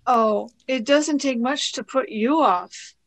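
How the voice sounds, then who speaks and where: A woman playing a girlish, excitable, inquisitive young space traveller in a radio play. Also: high voice, takes it slowly. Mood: playful.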